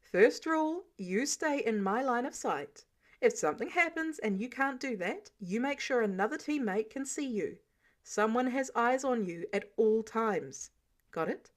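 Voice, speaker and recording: A woman's voice: fairly high pitch, expressive, measured pace. A woman voicing a stern, protective man who lays down rules in a sarcastic, bossy way for a story reading. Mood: firm, commanding. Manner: sarcastic, bossy, clipped commands. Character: stern, exasperated, protective adult man, mentor laying down rules.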